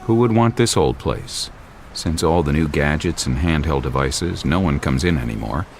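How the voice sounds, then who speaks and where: An actor in an amateur audio drama playing an affable, weary old uncle with a gravelly voice. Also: male voice, a bass voice, animated, normal speed, faint music behind. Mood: resigned. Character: elderly affable uncle, weary keeper of closing library. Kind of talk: student audio drama acting.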